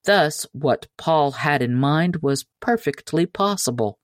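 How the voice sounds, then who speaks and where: A woman's voice: low-pitched, animated, slow, dry recording, clean studio sound. A woman reading a children's tall tale aloud as scripted storybook narration. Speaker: audiobook narrator.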